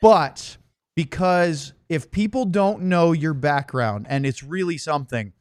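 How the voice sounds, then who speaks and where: A man's voice: on the high side, measured pace, clear, resonant tone, dry recording, studio quality. A man hosting a casual sports podcast, chatty and jokey in his delivery. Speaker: podcast host.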